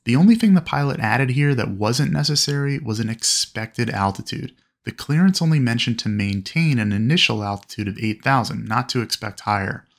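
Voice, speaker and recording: A man narrating instruction in a clear, explanatory way. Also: mid-range voice, expressive, normal speed, hardly any pauses.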